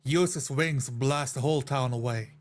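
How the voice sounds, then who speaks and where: Man playing a sceptical, mid-pitched adventurer. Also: moderate pace, lightly acted. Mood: questioning. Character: questioning, sceptical fantasy adventurer.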